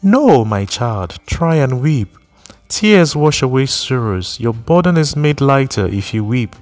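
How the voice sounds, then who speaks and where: A man's voice: medium pitch, very animated, a little slow, a little mellow, faint music behind, pitched above the performer's own voice. A man voicing a firm, protective older woman who gives orders and urges a grieving mother on in a storybook reading. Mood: coaxing. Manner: stern, commanding, then coaxing.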